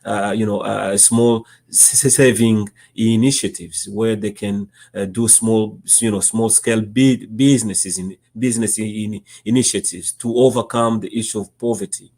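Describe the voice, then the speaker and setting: A man with a Congolese accent answering as an interview guest, speaking haltingly and often repeating the starts of words. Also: on the low side, steady tone, darkish tone. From Democratic Republic of Congo. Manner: halting, stammering repetitions of word starts. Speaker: interview guest, nonprofit executive director.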